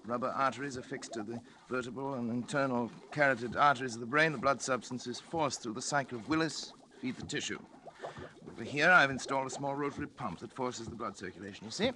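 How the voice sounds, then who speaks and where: An actor as an obsessed, arrogant radio-drama scientist. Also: male voice, medium pitch, quick pace, bright, forceful tone, slight background noise, lightly acted. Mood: absorbed, proud. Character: obsessed, coolly arrogant scientist, a family man. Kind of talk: old-time radio drama acting. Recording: vintage 1940s radio recording.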